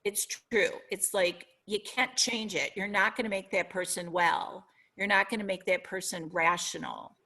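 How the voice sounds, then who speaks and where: A middle-aged woman with a Minnesotan accent, speaking as an interview guest in an earnest, reflective way, often pausing to search for words. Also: mid-range voice, steady tone, bright, forceful tone. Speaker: guest author. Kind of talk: podcast interview.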